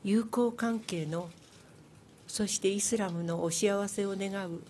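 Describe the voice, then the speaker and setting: A woman's voice: medium pitch, moderate pace, mellow, a little hiss or hum. An older woman speaking earnestly and politely at a press conference, sometimes restarting her words. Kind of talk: formal press conference statement. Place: large press club conference room. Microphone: table microphone on a stand.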